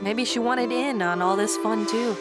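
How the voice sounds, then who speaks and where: A woman's voice: fairly high pitch, moderate pace, natural voice. An actress playing a tough, impatient wolf woman in a radio drama, speaking in a blunt, sardonic way. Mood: sarcastic. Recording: loud music bed behind voice.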